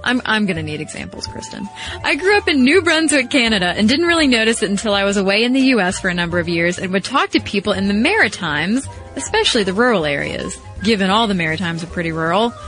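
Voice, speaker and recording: A woman co-hosts a podcast, reading letters and teasing her partner. Her pitch swings widely, and faint music sits behind her. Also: fast talker. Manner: lively and playful. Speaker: podcast co-host. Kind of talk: reading listener mail on a podcast.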